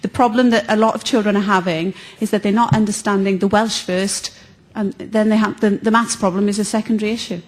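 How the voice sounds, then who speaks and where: A woman's voice: mid-range voice, fairly even intonation, fairly quick. A middle-aged woman, a former teacher who now runs a tuition business, speaking earnestly from the audience with many fillers. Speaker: audience questioner, tutor.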